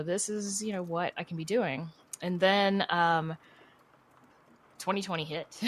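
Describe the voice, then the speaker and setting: A woman's voice: lowish voice, a little hiss or hum. A woman podcast creator and writer answering interview questions in an informal, chatty, storytelling way. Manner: informal and chatty, laughs easily while telling stories. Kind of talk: casual conversational interview answers.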